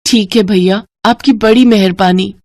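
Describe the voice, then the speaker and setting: A woman's voice: mid-range voice, steady tone, a little slow, a little mellow, dry recording, close to an everyday voice. Woman as humble village mother for a moral story: courteous, pleading, dry close sound. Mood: grateful. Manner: polite, pleading, deferential. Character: humble, hardworking poor village wife and mother.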